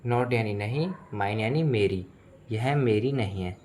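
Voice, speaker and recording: A man's voice: lowish voice, slow pace, a little mellow, a little hiss or hum. A man with an Indian accent, a native Hindi speaker, teaching English in a bilingual lesson, explaining word by word and repeating phrases.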